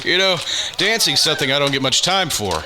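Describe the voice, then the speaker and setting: A man's voice: fairly high pitch, sing-song, brisk, crowd noise. A man acting in a radio drama as a genial, self-effacing astrophysicist with a polite, affable manner. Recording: noisy radio play recording.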